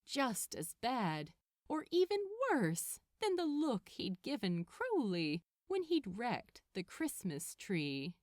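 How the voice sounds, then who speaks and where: A woman reading fiction aloud as an audiobook narrator, with expressive, dramatic and deliberate phrasing. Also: a little high, no room sound, clean studio sound.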